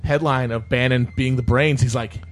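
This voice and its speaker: A young adult man co-hosting a casual comedic chat, sardonic and quick to riff. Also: mid-range voice, fairly even intonation, over a noisy crowd. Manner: sardonic, riffing with mock quotes.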